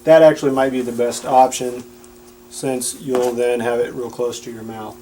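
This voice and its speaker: A man's voice: medium pitch, level tone, moderate pace, warm tone, slight background noise, poor audio. A man giving a casual, plain-spoken and explanatory product demonstration.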